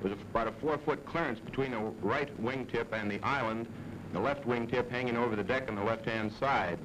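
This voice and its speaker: A man's voice: medium pitch, fairly quick. An American man in his thirties, a military pilot giving a matter-of-fact press account on a 1940s newsreel. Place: indoor conference room. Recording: noisy old 1940s newsreel sound.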